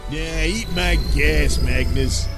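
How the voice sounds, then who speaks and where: A man's voice: on the high side, slow pace, recorded from a distance, loud music behind the voice. A man voicing a brash, bossy, impatient space-trucker captain in a comic audio cartoon. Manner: stammers when flustered.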